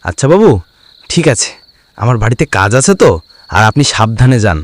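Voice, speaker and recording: A man's voice: mid-range voice, sing-song, a little fast, close to an everyday voice. A man playing a superstitious, deferential village storyteller in a cartoon. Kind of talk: cartoon dialogue.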